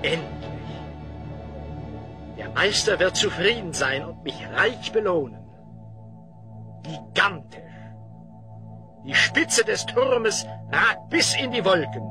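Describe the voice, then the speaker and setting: A man's voice: sits high, big pitch swings, slow, long pauses, heavily acted. A man playing a haughty, cruel slave overseer with imperious theatrical delivery in a German fantasy audio play. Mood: smug. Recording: music behind.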